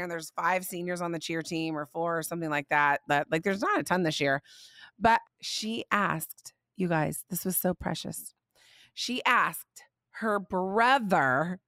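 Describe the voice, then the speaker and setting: A woman in her forties chats on a faith podcast and tells her stories with lively energy. Her voice is a touch scratchy from being under the weather. She sounds close and dry, with no room around her. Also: on the low side, clear, resonant tone, no room sound. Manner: chatty, warm-hearted, animated storytelling. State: slightly scratchy voice from illness. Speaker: podcast host. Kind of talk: casual faith podcast chat.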